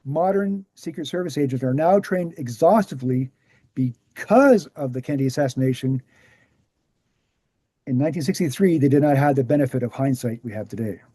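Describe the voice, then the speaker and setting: A man reading the part of an earnest author giving a measured, explanatory lecture at a screenplay table read. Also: mid-range voice, mellow, husky, no room sound, close to an everyday voice.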